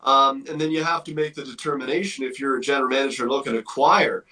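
Bright-voiced man talking sports, slightly distant. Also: mid-range voice, fairly even intonation, fast talker. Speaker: hockey analyst guest. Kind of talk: sports talk commentary.

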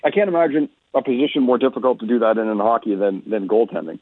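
A man giving conversational sports analysis as a guest, explaining in a measured way over a remote call-in line. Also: medium pitch, husky, dry recording. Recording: tinny phone-like radio line.

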